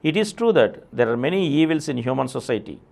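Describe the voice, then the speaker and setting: Man, Indian accent, mid-pitched, gravelly fry, formal essay reading, declamatory, deliberate phrasing. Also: lively intonation, a little mellow. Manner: formal, declamatory, deliberate phrasing with emphatic starts and falling endings. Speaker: reader presenting an article.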